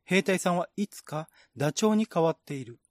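Male narrator for a literary radio drama: fairly high voice, measured descriptive delivery, dry recording. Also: expressive, no echo. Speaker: narrator, reader.

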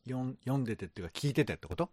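A man's voice: medium pitch, expressive, very fast, heavy background noise. A man chatting casually as a co-host, thinking aloud as he gives his impressions. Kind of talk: casual chat.

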